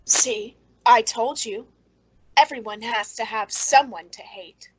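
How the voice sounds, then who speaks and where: A woman acting a bitter, clever, quietly menacing character in an old-time radio suspense play, brooding and pointed in delivery. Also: a little high, slow pace, breathy edge, rough recording.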